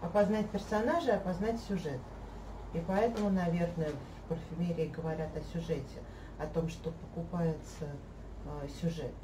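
A woman in her thirties, sitting on a sofa in a living room and chatting with animated, hesitant speech, recorded by a camera across the room. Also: lowish voice, moderate pace. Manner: animated, gesturing, many hesitations. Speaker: host, olfactory artist. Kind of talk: casual conversation. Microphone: fixed camera across the room. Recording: echoey room, mic at a distance, faint hiss.

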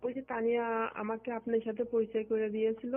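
A woman's voice: on the high side, monotone, quick pace. A woman from Bangladesh talking in a private phone conversation. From Satkhira, Bangladesh. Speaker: phone caller, government employee. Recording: muffled lo-fi phone recording with music.

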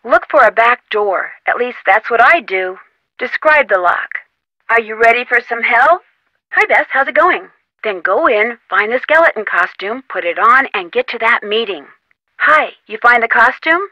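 Plucky young female sleuth, adventure game dialogue, fairly high pitch, dry close sound, persuasive and encouraging. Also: expressive, moderate pace, pushed and bright, no room sound, rough recording. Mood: urging. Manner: encouraging, insistent, conversational.

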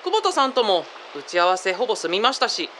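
High bright voice, actress as polite subordinate, brisk, easygoing, light background noise, audio drama. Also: female voice, sing-song. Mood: cheerful, polite. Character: polite, capable subordinate reporting to boss.

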